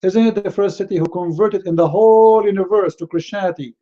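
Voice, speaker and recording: A man's voice: high-pitched, brisk, dark tone, dull audio. A man with a Middle Eastern Arabic accent, speaking English as a second language, heatedly rebutting a critic and often stumbling over words. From Middle East. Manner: indignant, repeats words and restarts often.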